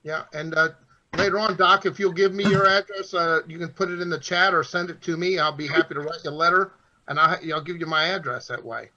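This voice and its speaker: A man's voice: fairly high pitch, fairly even intonation, fairly quick, bright, forceful tone. A man hosting a casual video call, friendly and a bit repetitive. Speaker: host. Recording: dull lo-fi call audio.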